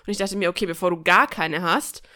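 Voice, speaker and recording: A woman's voice: lowish voice, a little fast. A woman telling personal stories in a casual, joking and colloquial way, as a podcast host. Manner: chatty, colloquial, expressive and joking. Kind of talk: casual chat, personal storytelling.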